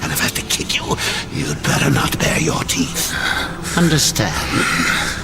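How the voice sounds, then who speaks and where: A man's voice: lowish voice, very animated, unhurried, gravelly, very noisy, heavily acted. A man playing a sadistic demonic watcher spirit in a video game, mocking and menacing as he draws out his taunts. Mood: mocking threat. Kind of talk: video game cutscene acting. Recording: loud cinematic score behind.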